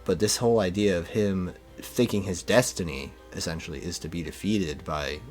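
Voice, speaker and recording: Low voiced guy chatting like a fan on a podcast, says like and you know a lot, music behind. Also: moderate pace. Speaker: podcast speaker discussing manga. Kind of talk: casual fan discussion.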